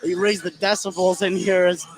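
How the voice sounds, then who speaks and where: A man's voice: sits high, little pitch movement, moderate pace, soft background music, poor audio. A man giving casual, hyped color commentary on a live basketball game in informal language. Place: indoor school field house.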